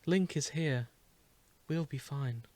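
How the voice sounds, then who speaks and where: Man reading as a calm ancient sage spirit, very dry sound. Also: fairly high pitch, slow pace, dry recording. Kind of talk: dramatic fan fiction reading.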